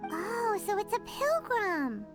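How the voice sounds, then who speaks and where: A woman voicing a small, cheerful, blunt fairy companion with a childlike, squeaky voice in an anime-style video game. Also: big pitch swings, slow, full character voice. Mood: cheeky, frank. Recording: music behind the voice.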